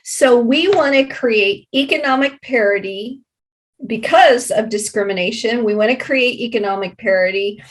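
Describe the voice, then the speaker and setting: A woman's voice: fairly high pitch, moderate pace, low-quality recording. An American woman speaking as an interview guest and community advocate, explaining conversationally and repeating points for emphasis.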